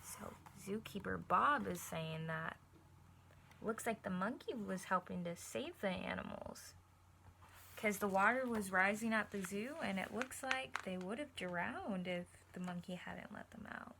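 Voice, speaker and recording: A woman's voice: mid-range voice, unhurried, gravelly, bright, forceful tone, slight background noise. A woman reading a picture book aloud like a teacher, stopping to put questions directly to her young listeners. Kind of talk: animated read-aloud with asides to young listeners.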